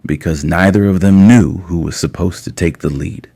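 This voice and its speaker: A man narrating scripted romance fiction in a measured, deliberate way with dramatic pauses. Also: deep voice, vocal fry, dark tone, husky. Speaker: audio story narrator.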